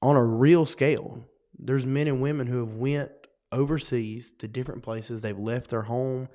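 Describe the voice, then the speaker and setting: A man's voice: mid-range voice, moderate pace. Earnest young man with a Western Carolina twang. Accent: Western North Carolina. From North Carolina. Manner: earnest, rambling, animated. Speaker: co-host. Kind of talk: casual podcast chat.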